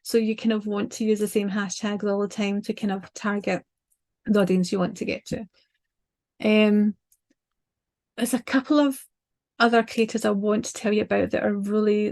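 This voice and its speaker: A woman's voice: medium pitch, flat delivery, moderate pace, creaky, a little mellow. An older woman with a Scottish accent chatting casually as a guest, rambling and sharing social media tips with frequent ums and likes. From Scotland. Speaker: designer and small business owner as guest.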